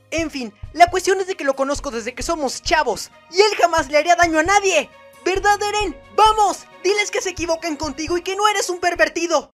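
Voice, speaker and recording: A woman's voice: very high-pitched, a bit bright. A woman voicing a small, whiny, vain teenage boy in a comedic anime parody, pleading and dramatic.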